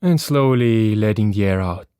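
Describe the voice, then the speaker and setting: A man guiding a body scan meditation as a teacher, giving gentle, unhurried instructions with long pauses. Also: on the low side, a little hiss or hum.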